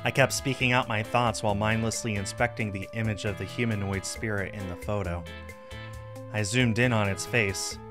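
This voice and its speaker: Bright-voiced man reading a visual novel. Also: lowish voice. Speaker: streamer. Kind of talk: narrating a visual novel aloud on a livestream.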